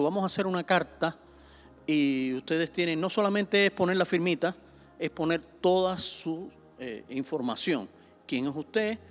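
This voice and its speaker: A middle-aged Cuban man, a pastor teaching a Bible seminar in a conversational way, with many fillers, repeating himself and pushing up sharply when he stresses a point. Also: on the high side. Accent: Cuban Spanish. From Cuba.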